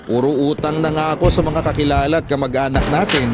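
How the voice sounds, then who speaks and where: A man's voice: a little high, steady tone, fast talker, lightly acted. A man with a Filipino accent voicing a worried, hesitant mother from the province in a radio drama. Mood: sad, pleading. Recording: background music under the voice.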